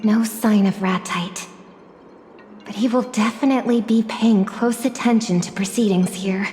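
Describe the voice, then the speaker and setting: A woman voicing a calm, thoughtful young woman in a video game cutscene, speaking gently. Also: medium pitch, measured pace, natural voice. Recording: studio voice with long reverb and music.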